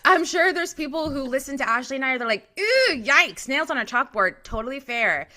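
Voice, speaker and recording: A woman's voice: high-pitched, big pitch swings, fairly quick, sharp tone. A young woman co-hosting a casual podcast, giving opinionated, chatty reality TV commentary. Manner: chatty, opinionated, restarts and fillers. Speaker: podcast co-host. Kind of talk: casual reality TV gossip chat.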